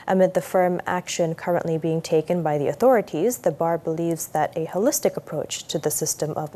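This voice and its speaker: A woman news anchor with a Malaysian accent reads from a script. Her voice sits a little low. The delivery is formal and measured, as in a television bulletin. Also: dark tone. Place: TV news studio.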